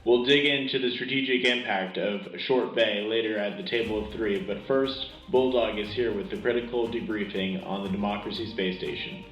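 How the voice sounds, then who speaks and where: A man's voice: on the low side, normal speed, hardly any pauses, fairly bright tone, reverberant, lo-fi sound, soft background music, natural voice. A man playing an earnest, rallying wartime radio anchor, reading scripted battle news with punchy emphasis. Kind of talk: scripted in-universe war news broadcast.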